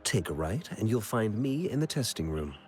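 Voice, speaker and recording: A man's voice: on the low side, sing-song, normal speed, a little mellow, soft background music. An actor playing a matter-of-fact male laboratory technician in a video game, with a procedural manner. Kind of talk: English video game voice acting.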